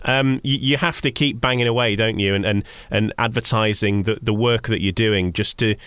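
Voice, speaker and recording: A man's voice: lowish voice, normal speed, fairly bright tone, clear, resonant tone, no room sound. A man hosting a conversational radio interview, wording things in a rambling way and repeating words as he goes.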